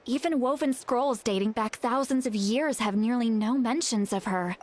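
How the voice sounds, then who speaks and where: A woman playing an earnest, thoughtful young female mystic in a video game, speaking in a measured, serious way. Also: on the high side, noisy background, natural voice. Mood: explaining. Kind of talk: English video game voice acting.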